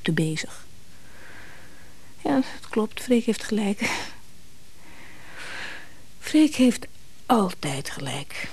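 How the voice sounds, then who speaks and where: A woman's voice: mid-range voice, very animated, very slow, long pauses, a little mellow, husky, exaggerated voice. A woman playing an affectionate, teasing, self-willed middle-aged wife in a radio play, coaxing and playful. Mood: pensive. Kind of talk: naturalistic radio play dialogue.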